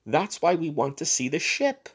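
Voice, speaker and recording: A man voicing a forceful, indignant elderly woman with shrill, accusing exclamations in a dramatic story reading. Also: very animated, moderate pace, husky.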